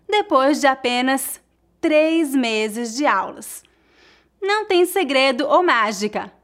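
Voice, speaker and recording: A woman narrates a language lesson in a high, bright-pitched voice. She takes her time, speaking slowly and deliberately so learners can follow every word. The tone is that of a teacher explaining calmly. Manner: slow, clear, deliberate delivery for learners. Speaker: Portuguese teacher.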